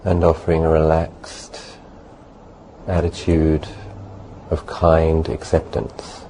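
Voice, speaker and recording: A man leading a guided meditation as a teacher, speaking gently and unhurriedly in short phrases with long pauses. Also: a bass voice, monotone, rough recording. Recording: faint background noise.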